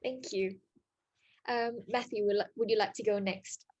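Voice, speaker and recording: A woman's voice: mid-range voice, steady tone, a little slow, darkish tone, lo-fi sound. A woman moderating an online panel, reading announcements and introducing speakers in a polite, formal way. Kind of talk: scripted event moderation.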